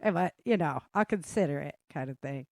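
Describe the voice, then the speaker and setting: A woman's voice: low-pitched, lively intonation, moderate pace, a little mellow, no room sound, studio quality. A woman hosting a casual group chat, talking in a chatty, giggly way. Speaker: host and author of an audio drama.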